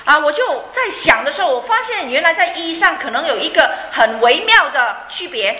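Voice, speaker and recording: A woman's voice: high-pitched, sing-song, brisk, bright, forceful tone, slight background noise. A woman interpreting a sermon phrase by phrase, fluent but with frequent fillers and light laughs. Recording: echoey room.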